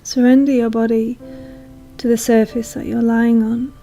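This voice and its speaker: A woman leads a guided meditation in a soothing, hushed manner, leaving long pauses. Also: fairly high pitch, gravelly. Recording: soft music behind voice. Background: calm meditation music.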